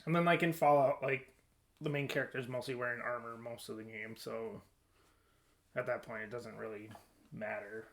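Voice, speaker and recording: A male podcast co-host with a mid-pitched voice, talking in an informal, conversational way. There is faint noise in the recording. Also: steady tone, moderate pace, vocal fry, fairly bright tone. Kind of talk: casual chat.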